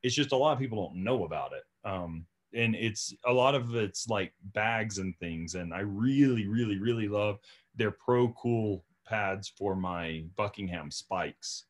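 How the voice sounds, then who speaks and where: A man's voice: on the low side, unhurried. Casual male podcast host, emphatic talker.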